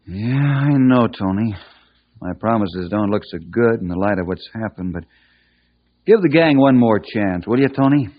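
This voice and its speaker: A man's voice: fairly low pitch, expressive, moderate pace, close to an everyday voice. Casting: man as reassuring, upright American mentor for a boys' gang, widely varied intonation, old dull radio recording. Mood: earnest, patient. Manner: earnest, moralizing, reassuring. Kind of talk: old-time radio drama acting.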